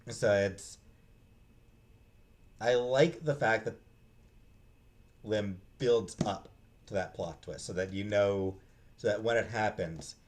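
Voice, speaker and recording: A man giving a casual, unscripted book review in a halting, hesitant way, often trailing off and restarting. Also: lowish voice, slight background noise. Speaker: book reviewer, vlog host.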